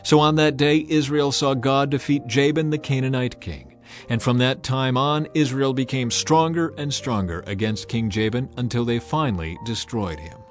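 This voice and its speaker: A man reading scripted scripture narration in a measured, solemn storytelling manner. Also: mid-range voice. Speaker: narrator of a dramatized audio Bible. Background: music under the voice.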